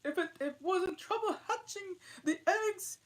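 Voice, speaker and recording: A woman's voice: very high voice, measured pace, pitched above the performer's own voice. A woman voicing a frantic mother pigeon guarding her eggs, screeching and wailing in a strained voice.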